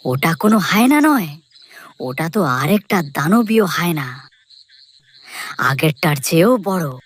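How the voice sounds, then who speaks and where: A woman voicing an excitable, brave young village man in a cartoon story, speaking urgently and emphatically. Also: sits low, a little slow, a little hiss or hum. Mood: urgent, excited.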